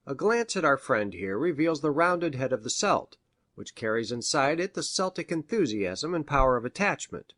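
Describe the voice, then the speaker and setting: A man's voice: medium pitch, sing-song, moderate pace, fairly bright tone, no room sound, studio quality. A man playing a learned country doctor in a storybook, with a formal, pedantic manner. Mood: learned, enthusiastic.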